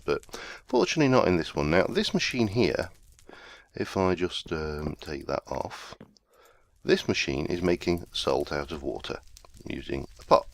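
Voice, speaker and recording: A man's voice: rumbling low, no room sound. A man hosting a casual let's play, talking through his gameplay in a rambling, self-deprecating way while chesty with a summer cold.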